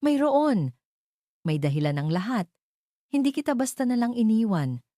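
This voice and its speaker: A woman's voice: on the high side, sing-song, normal speed, warm tone, no room sound, studio quality, pitched above the performer's own voice. A woman voicing an anguished, determined young man in love in an audio drama, emotional and insistent. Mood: pleading.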